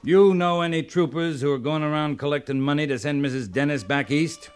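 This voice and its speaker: An actor playing a wry, world-weary cavalry captain in a radio western, speaking in a dry, laconic, sardonic way. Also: male voice, fairly high pitch, brisk, smooth, lightly acted. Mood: dry, probing. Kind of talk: 1950s radio western acting. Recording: noisy old radio recording.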